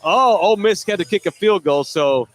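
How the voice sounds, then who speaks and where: A man's voice: high voice, animated, moderate pace. A man hosting a casual sports podcast, joking crudely and reading out viewer comments. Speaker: podcast host. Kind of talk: casual sports talk with a co-host.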